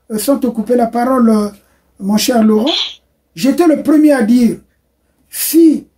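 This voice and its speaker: A man's voice: very high-pitched, warm tone. A middle-aged man hosting a political discussion, affirming his guest with "voilà" and drifting into long explanations. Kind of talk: political talk show discussion.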